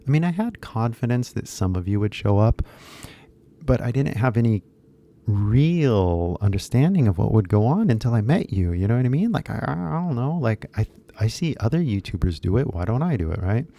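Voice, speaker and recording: A man's voice: mid-range voice, big pitch swings, normal speed, warm tone. A man in his thirties chatting casually on a live stream, rambling with lots of fillers and switching into Japanese at times. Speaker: English teacher YouTuber.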